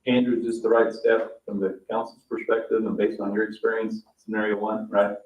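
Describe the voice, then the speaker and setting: A man's voice: fairly low pitch, flat delivery, fairly quick. Distant man in an echoey room. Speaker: meeting participant asking questions. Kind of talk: polite questioning in a public meeting. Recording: echoey, distant, phone-like thin sound.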